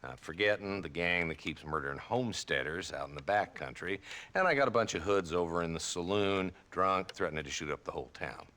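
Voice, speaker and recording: An actor playing a weary, sardonic old frontier marshal in a Western video game, with an American Southern accent and a dry, folksy, grumbling manner. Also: male voice, sits low, expressive, a little fast, bright, forceful tone. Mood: weary sarcasm.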